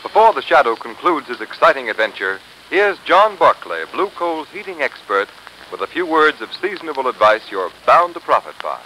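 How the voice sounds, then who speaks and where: A man's voice: mid-range voice, sing-song, moderate pace. An American man announcing in formal 1930s radio style. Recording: noisy 1930s radio recording.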